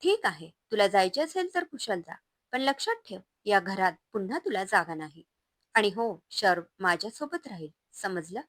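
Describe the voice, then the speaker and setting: A woman voicing an angry, domineering husband who shouts and sneers at his wife. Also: mid-range voice, expressive, moderate pace, no echo, clean studio sound, close to an everyday voice. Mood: scornful. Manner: shouting, scornful, loud.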